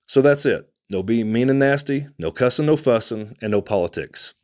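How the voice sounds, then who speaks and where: A man from Texas with a Texan accent, hosting a casual talk to camera, conversational and unhurried, with fillers and restarts. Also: mid-range voice, expressive, a little mellow. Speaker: host.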